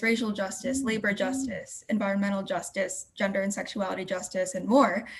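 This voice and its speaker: A woman moderating a panel discussion, putting partly prepared questions to the speakers. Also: mid-range voice.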